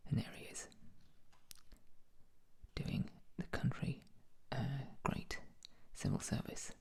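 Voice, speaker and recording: A speaker, whispering an ASMR commentary with dry, jokey asides while showing paintings. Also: slow.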